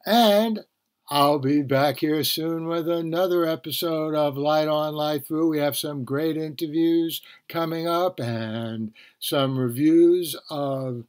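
Slow-talking older man, slightly high voice. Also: smooth. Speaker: podcast host, author and professor. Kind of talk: casual podcast talk.

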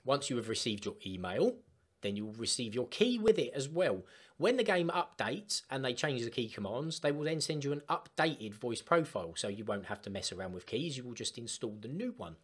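Male tutorial presenter, informal and emphatic, very lively mid-pitched delivery. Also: brisk, husky. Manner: emphatic, instructional. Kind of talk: informal step-by-step software tutorial. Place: voice-over for screen recording.

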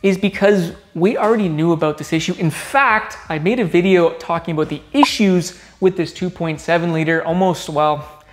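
A man's voice: sits high, normal speed, slight background noise. A young man hosting a car channel, giving an upbeat explainer to camera in a large garage workshop and talking with his hands. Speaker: automotive channel host.

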